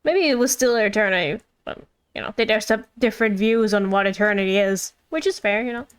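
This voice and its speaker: A woman's voice: mid-range voice, brisk, clean tone. A woman giving casual live commentary as a game streamer, informal and musing aloud.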